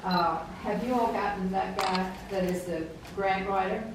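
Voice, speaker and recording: A woman council member speaks up at a public meeting in a forceful, big voice. She is picked up from far away in a very echoey hall. A steady murmur of room noise sits underneath her. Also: medium pitch, unhurried.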